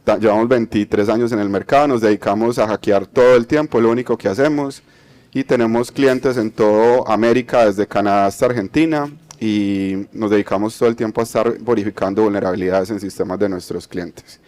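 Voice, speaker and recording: A man's voice: on the low side, steady tone, a little fast, darkish tone. A man from Colombia with a Medellín accent, giving an explanatory conference talk, checking in with the audience as he goes. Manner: explanatory, many fillers and restarts, tag questions. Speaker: conference speaker.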